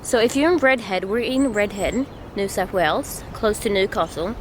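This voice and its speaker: A young woman from Sweden with a Swedish accent, chatting excitedly in a casual travel vlog and laughing often. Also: mid-range voice, moderate pace, slight background noise. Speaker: vlogger.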